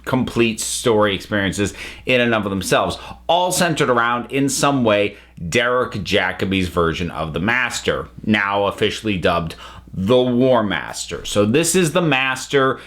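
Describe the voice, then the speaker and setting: A man's voice: on the low side, animated, a little slow, a bit bright. A man reviewing audio dramas in a casual, conversational way, thinking aloud and interrupting himself as he goes. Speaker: reviewer, channel host.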